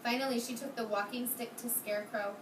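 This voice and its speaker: A woman's voice: a little high, fairly even intonation, brisk. A woman teaching kindergarten, reading a storybook aloud interactively, asking questions and praising her young pupils in an encouraging way. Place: indoor kindergarten classroom. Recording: roomy, distant mic, noisy classroom.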